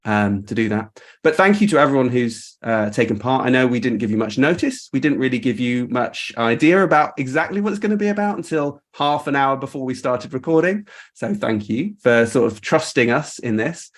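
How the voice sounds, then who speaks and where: A man's voice: mid-range voice, a little fast. A man hosting a casual group video call, talking in an informal, rambling and appreciative way. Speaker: host. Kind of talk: casual chat, reflecting on a creative project.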